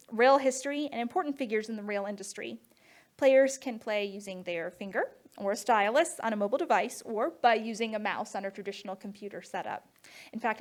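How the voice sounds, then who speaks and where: A woman giving a prepared conference presentation in a measured, scripted way. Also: on the high side. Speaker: conference speaker for a rail safety nonprofit.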